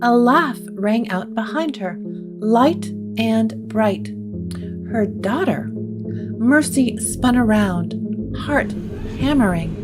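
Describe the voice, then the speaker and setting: Slow, deliberate female gothic narrator. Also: fairly high pitch, expressive, rough recording. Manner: deliberate, dramatic pauses. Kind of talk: scripted gothic story narration. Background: plucked guitar music.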